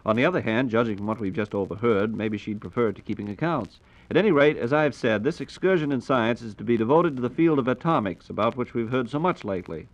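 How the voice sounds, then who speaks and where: A man's voice: medium pitch, quick pace, few pauses. A man with an American accent presenting a scripted 1940s radio program in a polished, formal way. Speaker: radio host. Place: radio studio. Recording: dull, lo-fi 1940s radio recording.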